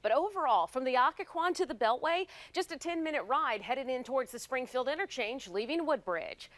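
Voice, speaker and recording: A woman's voice: sits high, expressive, fairly quick, a bit bright, no room sound. An American woman delivering a live television traffic report.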